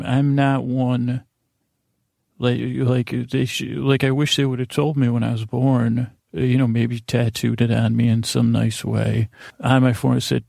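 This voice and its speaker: A man with a gravelly, relaxed voice rambles through a bedtime podcast monologue. He stammers and doubles back on himself, self-deprecating as he goes. The sound is close and dry, with no room on it. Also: mid-range voice, no echo. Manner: meandering, halting, stammering, self-interrupting. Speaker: podcast host.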